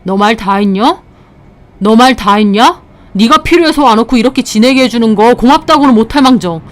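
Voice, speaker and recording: A woman's voice: a little high, expressive, fairly quick, lightly acted. A woman narrator playing an angry husband scolding his younger sister bluntly in an audio story. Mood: indignant.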